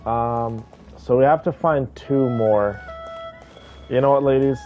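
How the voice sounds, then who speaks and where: A man's voice: mid-range voice, takes it slowly. A man livestreaming a game with casual, chatty and effusive commentary, talking directly to his viewers. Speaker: game live streamer. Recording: dull, band-limited stream audio. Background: music.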